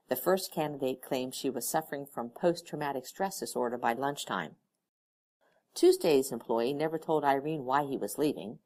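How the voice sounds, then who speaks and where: Low, lively woman reading a story. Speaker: audiobook reader. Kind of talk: scripted narration of fan fiction, with character dialogue.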